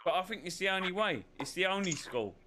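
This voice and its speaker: A man chats casually on a podcast, swearing freely and laughing often. His voice sits a little high and sounds bright and forward. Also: expressive, fast, slight background noise. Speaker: podcast co-host. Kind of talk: casual pub-style chat.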